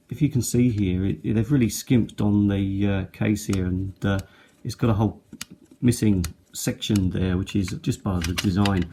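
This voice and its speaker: A middle-aged man with a fairly low, gravelly voice talks casually through products he is showing. His delivery is halting and unscripted. There is clear background noise with small handling clicks. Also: darkish tone. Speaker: product reviewer. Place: indoors at a tabletop.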